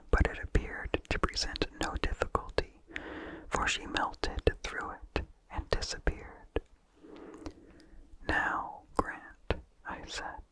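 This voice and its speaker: An ASMR reader delivers a vintage horror tale entirely in a breathy whisper, with no voiced tone at all. The pace is very slow and deliberate, with pauses between short phrases. Soft mouth sounds come through between the words. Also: poor audio. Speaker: story reader.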